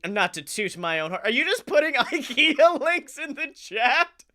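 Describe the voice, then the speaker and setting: An American man with a bright, forward voice hosts a comedy podcast. He tells casual, funny stories in an animated way, swearing freely and chuckling as he talks. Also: extremely high pitch, normal speed. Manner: animated, swearing, often chuckling while talking. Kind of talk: casual comic storytelling.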